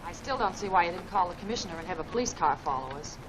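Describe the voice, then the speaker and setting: A woman's voice: on the low side, expressive, racing, natural voice. An actress playing a plucky, loyal female companion to the hero in a 1940s film serial. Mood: eager, doubting. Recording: faintly noisy old film soundtrack.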